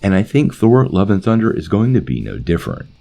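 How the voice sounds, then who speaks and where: Male commentary host: low, creaky voice, animated delivery addressed to online viewers. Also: fairly quick. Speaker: host of a comic book movie channel.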